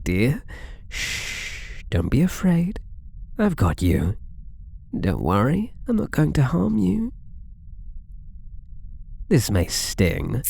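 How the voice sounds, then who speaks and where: A man's voice: on the low side, creaky, dark tone, dry recording, full character voice. Man playing a coy, swooping British catboy thief. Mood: gloating, then soothing, possessive. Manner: coy, playful, mock-innocent purring taunts. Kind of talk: ASMR roleplay acting.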